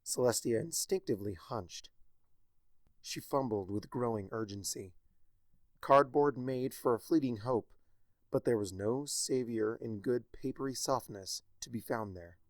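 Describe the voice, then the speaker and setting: A man reading a story aloud with a wry, expressive delivery. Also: mid-range voice, measured pace, dry recording. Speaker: fanfiction narrator.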